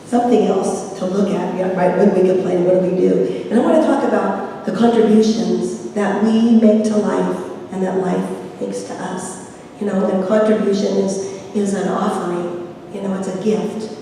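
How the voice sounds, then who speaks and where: A woman minister with a slightly raspy voice gives an informal, rambling, folksy sermon, inviting answers from listeners. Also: medium pitch, steady tone, moderate pace, dark tone. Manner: rambling, folksy, seeks audience responses. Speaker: minister leading a Sunday service. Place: large reverberant room at a service. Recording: echoey hall, distant mic, faint noise.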